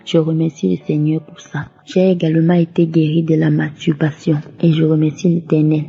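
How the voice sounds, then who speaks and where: A woman's voice: low voice, little pitch movement, moderate pace, vocal fry, warm tone, breathy edge. A devout woman giving a religious testimony and offering thanks. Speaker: testimony giver. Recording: muffled lo-fi audio over music. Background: background music.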